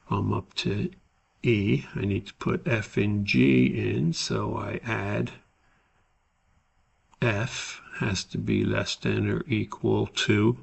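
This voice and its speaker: A man giving an unscripted, step-by-step tutorial as an instructor, explaining in a halting, methodical way. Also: fairly low pitch, creaky, slightly rough. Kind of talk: screen-recorded spreadsheet tutorial, unscripted explanation.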